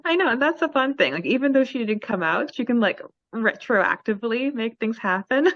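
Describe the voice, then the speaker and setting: A woman's voice: a little high, animated, fairly quick, a bit bright, dry recording, muffled recording. A woman co-hosting a casual fan podcast, upbeat and giggly as she reads listener questions. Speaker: podcast co-host.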